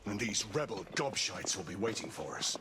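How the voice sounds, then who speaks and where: An actor playing a gruff, grave veteran knight in a video game, speaking with measured authority. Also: male voice, deep voice, sing-song, noisy background. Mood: grim. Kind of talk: dramatic video game cutscene acting. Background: music.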